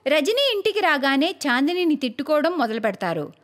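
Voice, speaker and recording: Female cartoon storyteller, high voice, very lively intonation. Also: fairly quick, slight background noise. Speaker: narrator. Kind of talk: scripted storytelling narration for a cartoon.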